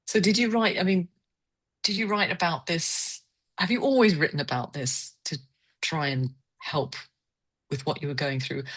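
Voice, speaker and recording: A woman's voice: low voice, a bit bright. A woman hosting a literary conversation, asking reflective questions in a tentative, searching way. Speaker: interviewer hosting a poetry salon.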